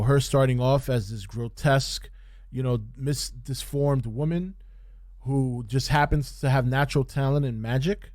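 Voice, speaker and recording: A man with a medium-pitched voice talks as a podcast host giving an off-the-cuff review. He speaks haltingly and repeats phrases to stress his point. The sound is dry, with very little room echo. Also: a little mellow. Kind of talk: casual unscripted review commentary.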